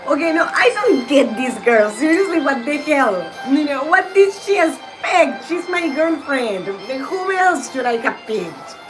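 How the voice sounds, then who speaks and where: A woman's voice: high voice, very animated, a little slow, hardly any pauses, voice pushed up, low-quality recording. A woman doing a huffy scornful schoolgirl. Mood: indignant. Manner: indignant, huffy and blunt. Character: scornful proud teenage schoolgirl. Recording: game music loud behind.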